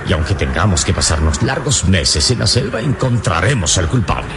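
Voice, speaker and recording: Very deep-voiced, solemn adventurer hero. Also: male voice, big pitch swings, over music. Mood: resolute. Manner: grandiloquent, measured, courteous. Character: wise, bold adventurer hero, gallant and solemn. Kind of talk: old radio serial acting. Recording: noisy old radio serial, vehicle drone.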